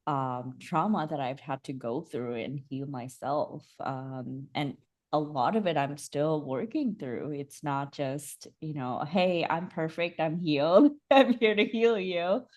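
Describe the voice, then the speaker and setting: Low-voiced woman, reflective and soft. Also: a little slow. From Bangladesh. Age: young adult. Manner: soft, reflective, many fillers and restarts. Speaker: guest, holistic coach. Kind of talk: casual interview chat.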